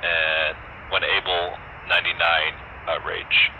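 A deep-voiced man talks over noisy radio comms. He delivers procedural flight sim brevity calls, pausing hesitantly between short military phrases. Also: little pitch movement, highs cut off. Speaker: virtual squadron pilot.

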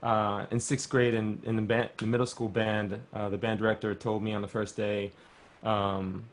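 Relaxed male percussionist telling a story. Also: lowish voice, moderate pace. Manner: relaxed storytelling with light laughs. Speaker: panelist, percussionist and educator. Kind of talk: casual video-call discussion. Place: home room with bookshelves.

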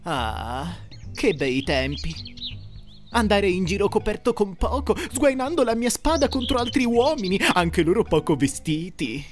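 A man puts on a faded cartoon muscleman, vain and camp. The delivery is wistful yet swings widely in pitch. Some music sits behind it. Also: big pitch swings, measured pace. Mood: dreamy nostalgia. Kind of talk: cartoon parody dub.

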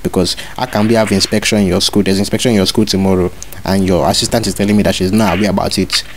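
A low-voiced man rattles off demands at a rapid clip over a phone line full of hiss. He plays a fake inspector, bossy and relentless, repeating the same orders again and again. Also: level tone. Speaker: prank caller posing as a school inspector. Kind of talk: prank phone call.

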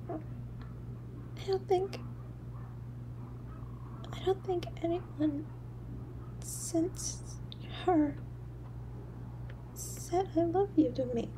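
Starving scared little match girl for audio drama, slow halting pleading, really high voice, shivery and teary. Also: noisy background, exaggerated voice. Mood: wistful, sad. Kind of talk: dramatic audio story monologue.

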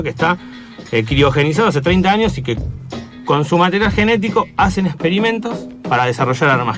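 Man, Argentine accent, casual radio columnist, halting explanations, music behind the voice. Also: fairly high pitch. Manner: hesitant, searching for words.

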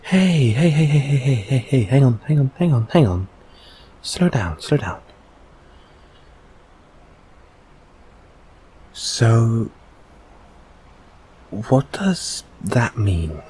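A man playing a gentle, reassuring boyfriend in an intimate comfort audio roleplay, speaking softly and hesitantly. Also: mid-range voice, expressive, breathy edge, heavily acted. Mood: concerned. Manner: soft, hesitant, soothing, unhurried.

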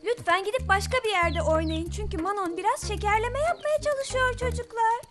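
Fussy little cartoon farm animal, squeaky high, slow-paced, scolding and pleading, music behind. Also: female voice. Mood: bossy, annoyed. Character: fussy, protective little farm animal guarding a napping friend. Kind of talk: children's cartoon voice acting.

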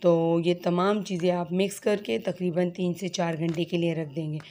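Hoarse woman, lowish mellow voice, chatty cooking host, phone recording in a home kitchen. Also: fairly even intonation, moderate pace. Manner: chatty, talks straight to viewers. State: hoarse from a sore throat. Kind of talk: casual home cooking tutorial.

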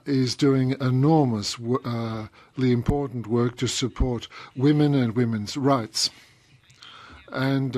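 Male simultaneous interpreter, halting delivery, dry booth mic. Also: mid-range voice, darkish tone, dry recording. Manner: hesitant, frequent pauses and uh fillers. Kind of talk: live interpretation of a speech.

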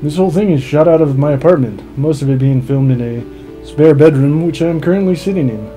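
Mellow-voiced man with wry commentary. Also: fairly high pitch, fairly quick, low-quality recording. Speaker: film director. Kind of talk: behind-the-scenes commentary. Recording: faint music underneath.

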